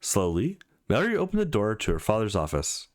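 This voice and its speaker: A man's voice: lowish voice, huge pitch swings, fairly quick. A man reading a visual novel aloud for a let's play, mixing dramatic narration with casual commentary.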